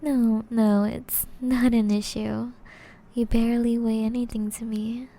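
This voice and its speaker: A woman playing a flirtatious, seductive female vampire in an intimate ASMR roleplay, breathy and often whispering, with slow teasing endearments. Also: on the high side, flat delivery, mellow. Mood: tender, teasing.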